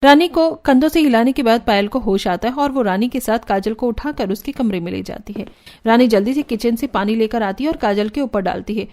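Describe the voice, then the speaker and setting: Female cartoon story narrator, high voice, scripted delivery over music. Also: a little fast, darkish tone.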